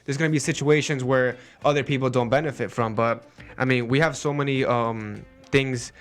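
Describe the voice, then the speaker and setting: A young American man talks easily on a radio show, dropping "like" and "you know" as he goes. His pitch sits in the middle, and faint music runs behind him. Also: steady tone, moderate pace, clean tone. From United States. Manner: easygoing, fillers like "you know" and "like". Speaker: guest. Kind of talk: casual radio chat.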